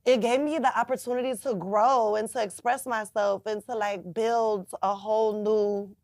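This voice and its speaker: Twenties woman, raspy rapper-singer, laid-back and blunt, dry close mic. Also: a little high, fairly even intonation, normal speed, a little mellow, no room sound. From Chicago, US. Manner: laid-back, frank, swears freely. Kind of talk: casual interview.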